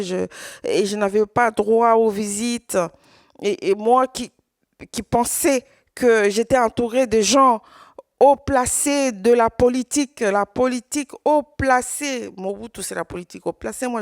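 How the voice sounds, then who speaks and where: Woman, Swahili speaker, conference testimony, halting repetitions, mid pitch, dry sound. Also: vocal fry, no echo. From Congo. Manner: halting, with many repeated words and restarts. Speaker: survivor giving testimony.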